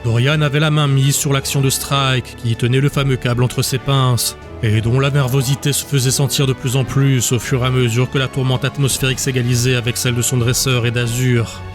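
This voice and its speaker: A man's voice: mid-range voice, flat delivery, a little fast, few pauses, music in the background. A man narrating a dramatic fantasy audiobook from a script.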